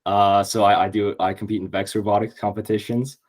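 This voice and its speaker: A man's voice: lowish voice, flat delivery, fairly quick. A young man from Canada, talking in an eager, upbeat way on a podcast, with many fillers. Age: young adult. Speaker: podcast guest, incoming university student. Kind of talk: casual podcast conversation.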